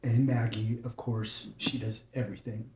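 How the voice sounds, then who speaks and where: A man's voice: lowish voice, level tone, normal speed, mellow, roomy sound, slight background noise, lightly acted. A man reading a screenplay part at a table read, playing an inquisitive, joking actor. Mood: curious.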